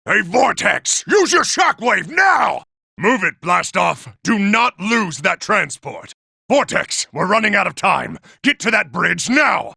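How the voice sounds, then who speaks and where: A giant war robot from a video game, a male military tactician used to command. The voice is heavily gravelly and rasping, with a hard, cutting edge. He is impatient and forceful, and his pitch moves widely. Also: big pitch swings, slow pace, dry recording, studio quality, heavily acted. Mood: angry, urgent. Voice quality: gravelly, raspy. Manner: forceful, barking orders. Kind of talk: video game character acting.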